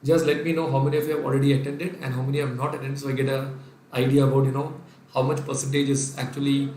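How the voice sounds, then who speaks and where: A man's voice: medium pitch, little pitch movement, fast talker, a little mellow, echoey room, a little hiss or hum. A man from Kerala with an Indian English accent and Sindhi as his first language, giving a live online revision lecture. He dictates the working step by step and reads out viewers' names, answering them as he goes. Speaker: finance lecturer.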